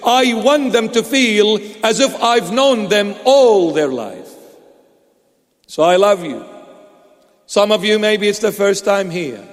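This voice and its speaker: A man's voice: sits high, slow. A man preaching a sermon, emphatic and repetitive, asking rhetorical questions with playful asides. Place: church hall. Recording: long reverb of a large hall.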